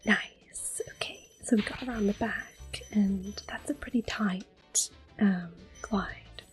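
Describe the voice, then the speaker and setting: Woman, soft whisper, ASMR gameplay, close mic, gentle and hesitant, faint game music behind. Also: mid-range voice, steady tone, slow pace. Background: faint game music and controller clicks.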